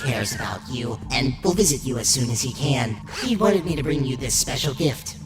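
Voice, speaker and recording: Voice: mid-range voice, expressive, moderate pace, close to an everyday voice. A performer voicing a polite, nervous android courier in a cartoon, with formal, explanatory phrasing. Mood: polite, awkward. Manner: formal, explanatory, stammering when flustered. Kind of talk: scripted narration and animated character dialogue. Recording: roomy sound with loud music behind.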